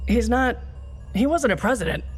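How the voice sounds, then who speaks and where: A woman's voice: fairly high pitch, lively intonation, moderate pace, slightly rough. A woman playing an earnest, easily exasperated secret agent in a comic video game, melodramatic and incredulous. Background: game music.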